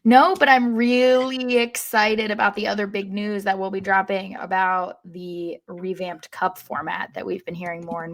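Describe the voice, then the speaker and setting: A woman's voice: on the low side, a bit bright. A woman co-hosting a sports fan podcast in casual, conversational chat. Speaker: podcast co-host.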